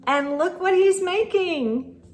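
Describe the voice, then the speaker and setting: A middle-aged woman hosting a children's storytime, reading a picture book aloud in an expressive, sing-song way and talking directly to her young listeners. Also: very high-pitched, takes it slowly, slight background noise. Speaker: host of children's storytime show. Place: indoors in a room lined with bookshelves.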